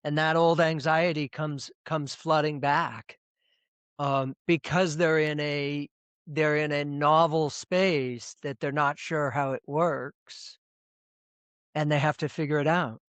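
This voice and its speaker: Man, middle-aged, smooth clear voice, dry close sound, rambling restarts. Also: on the high side, steady tone, slow pace, fairly bright tone, no room sound. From United States. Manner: rambling with frequent restarts and repeated words. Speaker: presentation coach, guest. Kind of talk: casual interview talk. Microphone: video call.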